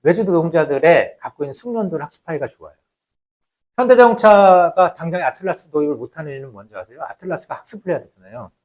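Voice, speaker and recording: Man, lecturer, somewhat high-pitched, very animated intonation, conversational explaining, emphatic, rhetorical questions, quieter phrase endings. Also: fast. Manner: conversational, poses rhetorical questions then answers them. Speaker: lecturer, labour researcher.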